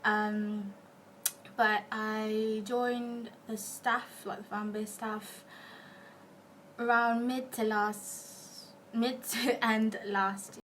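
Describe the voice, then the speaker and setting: A woman's voice: fairly high pitch, level tone, extremely slow, a bit bright, a little hiss or hum. A twenty-one-year-old woman from Malaysia, a fan base admin, answering interview questions playfully and sometimes dropping to a whisper.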